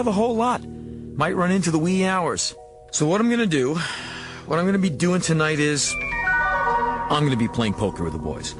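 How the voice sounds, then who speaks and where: A man playing a slick but rattled American used-car salesman boss in a radio drama, slangy and exclamatory. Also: fairly high pitch. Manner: slangy, fast-talking, exclamatory.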